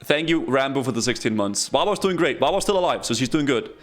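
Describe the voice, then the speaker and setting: A man from Denmark, chatting excitedly with his viewers while he streams a game. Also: medium pitch, fast, faint music behind. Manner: excitable, chatty, talks straight to chat. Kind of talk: casual live-stream commentary.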